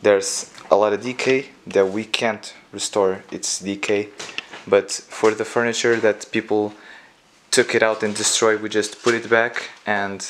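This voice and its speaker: A young man, narrating an urban-exploration vlog in an earnest, plain way, with a few halting restarts. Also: fairly low pitch, little pitch movement, slight background noise. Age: young adult. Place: indoors, in a decaying abandoned house. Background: loud guitar music.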